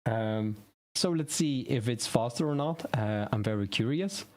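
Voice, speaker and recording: A man whose first language is Dutch hosts a relaxed live stream on website building. He thinks aloud, stumbles over words and restarts them, at an ordinary middle pitch. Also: measured pace. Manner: rambling, self-correcting, thinking aloud. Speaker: web design streamer. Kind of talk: casual tutorial live stream.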